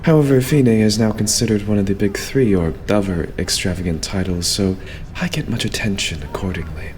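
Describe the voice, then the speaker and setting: Man playing a low-voiced, formal young butler idol. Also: a little mellow, slight background noise, lightly acted. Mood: reserved, matter-of-fact. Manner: formal, courteous, measured, servile phrasing. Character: polite young male idol butler, courteous yet quietly condescending. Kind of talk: anime-style fan dub acting.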